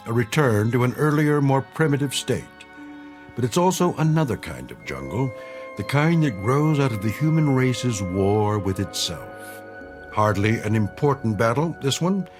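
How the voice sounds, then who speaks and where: A man narrating a scripted drama in a solemn, measured, portentous manner. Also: medium pitch.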